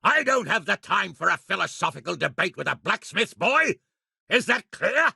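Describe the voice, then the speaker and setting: A gruff middle-aged feudal lord in a fantasy-free medieval video game. His voice is raspy and fairly high for a man, with lively swings in pitch. He is blunt, authoritative and quick-tempered, recorded close and dry. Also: dry recording, heavily acted. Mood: stern, angry. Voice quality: raspy, gravelly. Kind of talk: video game character acting.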